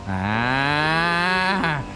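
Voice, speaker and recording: Excitable gamer with swooping pitch, music behind. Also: extremely slow. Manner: excitable, repeats phrases. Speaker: gaming streamer. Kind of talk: playful live game roleplay chatter.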